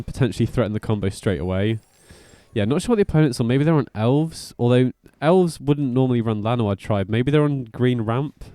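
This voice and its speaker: A man's voice: fairly low pitch, warm tone, dry recording. A man giving informal, slangy commentary as host of a card game guide. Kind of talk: casual gameplay commentary.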